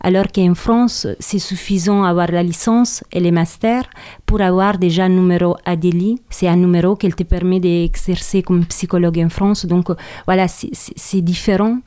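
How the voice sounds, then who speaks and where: A woman's voice: fairly low pitch, fairly even intonation, moderate pace, dark tone, clear, resonant tone, dry recording, studio quality. A young woman from southern Italy, speaking French with an Italian accent, answers interview questions with frequent hesitations and repeated words. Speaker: guest. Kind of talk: radio interview.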